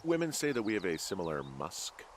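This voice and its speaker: An actor playing a laid-back middle-aged male fire lookout in a video game, American, casual and deadpan with a slightly defensive edge. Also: low voice, fairly quick, very noisy. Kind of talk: naturalistic video game dialogue.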